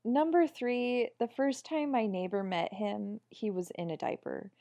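A woman co-hosting a podcast, telling everyday stories in an animated way and laughing often. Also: mid-range voice, a little slow. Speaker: podcast co-host. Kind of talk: casual podcast chat.

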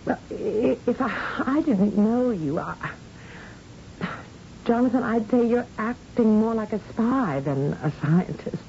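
Nervous loyal scientist in old radio drama, halting, mellow, bit of hiss. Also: female voice, a little high, animated, creaky, muffled recording, heavily acted. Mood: doubtful, pleading. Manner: hesitant, halting. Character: anxious, loyal female scientist and accomplice.

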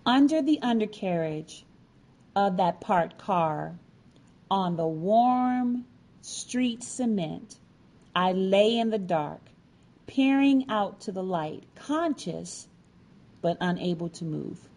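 A woman storyteller narrates in a slow, measured way. Her phrasing is deliberate and dramatic, as in a prepared personal story read aloud. Her pitch sits in a middle range for a woman. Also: lively intonation, a little mellow. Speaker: featured storyteller.

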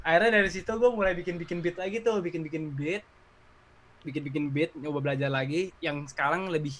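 A man's voice: a little high, fast, a bit bright, a little hiss or hum. A young man from Indonesia chatting casually as a guest, rambling and repeating his phrases. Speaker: guest, amateur rapper. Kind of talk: casual chat.

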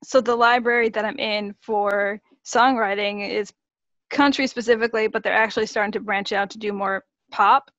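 A woman in her thirties from Massachusetts, a guest singer-songwriter, explains her work in a measured, steady way. Her audio is dry and a little dull. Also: mid-range voice, dry recording. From Massachusetts, USA. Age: 30s. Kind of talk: interview answers.

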